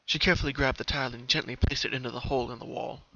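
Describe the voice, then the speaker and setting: A man's voice: mid-range voice, fairly even intonation, rapid-fire, creaky, pushed and bright, slight background noise. A man reading a story aloud as a dramatic, scripted narration, on a slightly lo-fi home recording.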